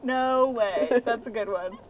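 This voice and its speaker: A woman voicing an excitable, friendly sock puppet in children's puppet show banter. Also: a little high, slow pace, crowd noise. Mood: eager, friendly. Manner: exclaiming, eagerly encouraging straight woman to jokes. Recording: clearly noisy amateur recording.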